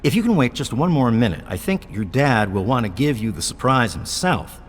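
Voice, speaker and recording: An actor playing a friendly, teasing lab assistant in a video game, with jovial, mock-stern banter. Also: male voice, medium pitch, sing-song, moderate pace, in a large hall. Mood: amused, friendly.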